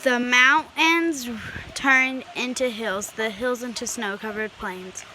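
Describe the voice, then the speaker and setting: Female storybook reader, higher-pitched, unhurried and halting, on a slightly noisy amateur home recording. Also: fairly even intonation, pushed and bright. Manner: halting read-aloud with stumbles. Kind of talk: reading a children's picture book aloud.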